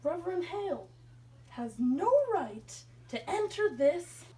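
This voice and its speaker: A woman's voice: very high-pitched, very animated, takes it slowly, dark tone, full character voice. A woman acting a stern, unbending male colonial judge in an amateur puppet play. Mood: questioning. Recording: distant from the mic.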